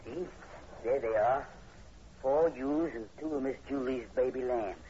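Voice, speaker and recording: A man's voice: mid-range voice, measured pace, dark tone, close to an everyday voice. An actor playing a timid, superstitious farm hired man with a rural Southern American accent in a 1940s radio horror play. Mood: ominous, uneasy. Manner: folksy, rustic, hesitant. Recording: muffled, noisy 1940s radio recording.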